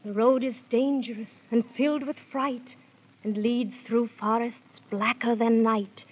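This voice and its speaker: Slow, stately fairy queen. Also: on the high side, fairly even intonation, husky. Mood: solemn warning. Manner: stately, incantatory rhymed delivery. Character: regal, benevolent fairy queen speaking in rhyme. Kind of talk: 1930s children's radio drama acting. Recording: dull 1930s radio recording.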